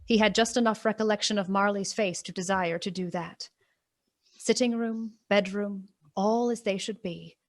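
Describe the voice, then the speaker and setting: A woman narrating a radio play as a storyteller, deliberate, suspenseful and emphatic. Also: medium pitch, steady tone, close to an everyday voice. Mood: measured, wry. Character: storyteller of a gloomy Victorian ghost tale. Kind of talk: scripted dramatic storybook narration.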